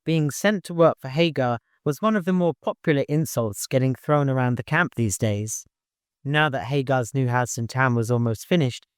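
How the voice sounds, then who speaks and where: A man's voice: medium pitch, clear, resonant tone, dry recording, studio quality. A man reading scripted literary narration as an audiobook narrator.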